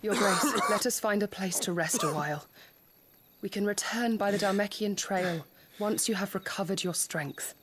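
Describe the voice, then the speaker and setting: An actress playing a quiet, loyal female attendant to a noble, speaking softly and respectfully in hushed tones. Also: medium pitch, moderate pace, background noise, lightly acted. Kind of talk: game cutscene acting.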